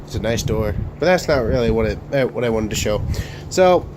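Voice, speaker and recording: A young man hosting a casual room tour vlog of his bedroom studio, talking haltingly with many fillers and restarts while coming down with a cold. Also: fairly low pitch, animated, background noise. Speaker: Lego channel host.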